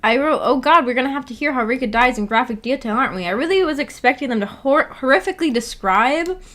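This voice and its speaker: Girl streamer just chatting w her viewers, kinda high voice, hesitant and rambly. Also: animated, quick pace, a bit bright. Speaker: livestreamer, book club host. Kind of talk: casual livestream chat, reading and answering viewer comments.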